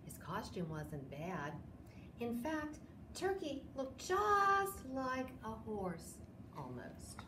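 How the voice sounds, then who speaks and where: High, very expressive older woman reading aloud. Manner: expressive, with drawn-out dramatic phrases. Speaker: library storytime host. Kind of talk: children's picture-book read-aloud. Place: small indoor room, seated. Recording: roomy echo, clear background noise.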